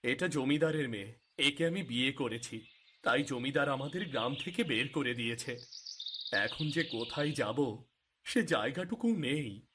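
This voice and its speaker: A man's voice: mid-range voice, lively intonation, measured pace, a bit bright, a little hiss or hum. A man voicing a poor, grateful young village cowherd husband in a cartoon, with an earnest manner. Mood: dejected. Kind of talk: cartoon moral story dialogue.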